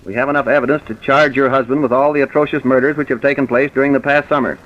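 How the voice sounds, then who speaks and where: An actor playing a stern, insistent police commissioner with deliberate authority, in old-time radio drama style. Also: male voice, mid-range voice, steady tone, close to an everyday voice. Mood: grave, firm. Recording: dull, hissy vintage radio recording.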